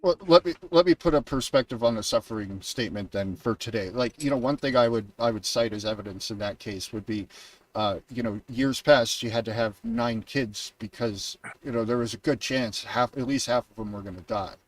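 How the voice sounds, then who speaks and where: A man hosting a podcast, making a measured case in casual discussion. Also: mid-range voice, recorded from a distance, poor audio. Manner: measured, argues with evidence. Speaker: podcast host.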